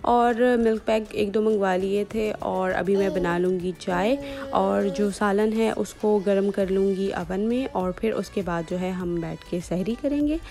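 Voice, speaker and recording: A woman's voice: medium pitch, measured pace, gravelly, few pauses, warm tone. A young woman, narrating a home vlog in a casual, chatty style. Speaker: vlogger.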